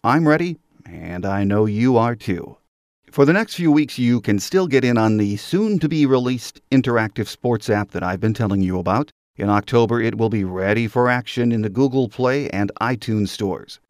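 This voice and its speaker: A man's voice: mid-range voice, expressive, normal speed, dry recording, studio quality. An American man hosting a sports podcast, speaking in a conversational, folksy announcing manner. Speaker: podcast host. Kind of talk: sports podcast monologue and interview intro.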